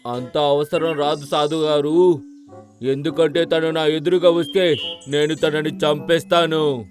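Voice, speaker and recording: A man's voice: a little high, fairly even intonation, measured pace, close to an everyday voice. A man voicing a boastful, bossy cartoon donkey who poses as a lion king, with a pompous, commanding manner. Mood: threatening. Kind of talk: children's cartoon story dubbing. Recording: music bed behind the voice.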